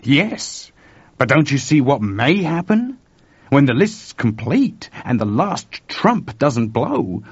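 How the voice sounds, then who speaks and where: A nervous young tech guy, as a husky male reader puts him on, voice pitched up and carried along by his own excitement, swinging high and low as he explains. it sounds dry and dull, like a lo-fi recording. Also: no echo, full character voice. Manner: excited, talkative, urgent. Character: urgent, nervous young male computer technician.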